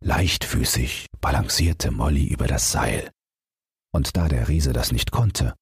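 A man's voice: a bass voice, measured pace, creaky, husky, dry recording, clean studio sound. A man narrating a scripted bedtime fairytale as an audiobook reader, telling it expressively.

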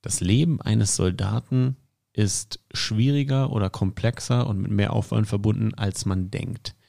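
A man hosting a sports podcast, upbeat and joking as he interviews a guest, tossing in quick asides. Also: lowish voice, creaky, dark tone. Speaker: podcast host.

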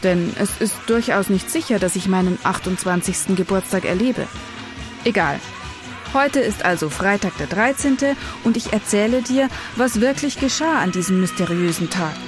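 A moody teenage goth girl, played by a woman confides in her diary with defiant, self-serious earnestness. It is a radio play monologue at an ordinary female pitch, with music playing behind her. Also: animated, moderate pace, lightly acted. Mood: defiant, brooding.

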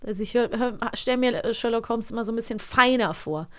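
A woman chatting casually as a podcast co-host, expressive and often correcting herself as she looks for the right word. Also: a little high, a little fast. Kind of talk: casual chat about radio plays.

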